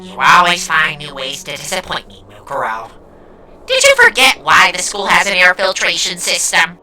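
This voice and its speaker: A woman's voice: lowish voice, huge pitch swings, normal speed, pushed and bright, heavily acted. A woman voicing a gleefully sadistic, theatrical teenage girl villain in an anime fan dub, taunting and melodramatic. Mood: scornful, disappointed. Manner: taunting, melodramatic, mock-sweet.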